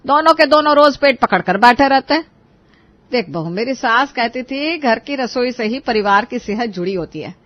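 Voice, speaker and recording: A woman's voice: a little high, expressive, moderate pace, a bit bright, no room sound, muffled recording. A woman playing a bossy, stern older Indian mother-in-law in a cartoon family drama, scolding and giving emphatic orders. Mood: firm, complaining.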